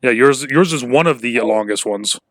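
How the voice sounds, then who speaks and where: A man's voice: mid-range voice, moderate pace. A man in his twenties hosting a podcast, chatting casually with lots of fillers, restarts and swearing. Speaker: podcast host. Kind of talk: casual chat.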